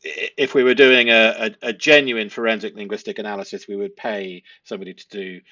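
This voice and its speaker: A man explaining a transcript analysis as a host, speaking deliberately and posing rhetorical questions. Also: on the low side, fairly even intonation, sharp tone, no room sound, highs cut off. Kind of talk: explanatory analysis of a transcript, part read aloud.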